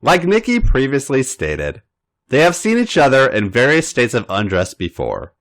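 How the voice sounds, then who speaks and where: Man narrating with lively pitch. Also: moderate pace. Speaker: podcast host reading fan fiction. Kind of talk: story narration.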